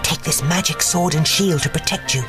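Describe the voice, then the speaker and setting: A woman's voice: low voice, normal speed, over music, lightly acted. A woman voicing a feisty, stubborn female fairy in a children's storybook, with an emphatic manner. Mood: earnest.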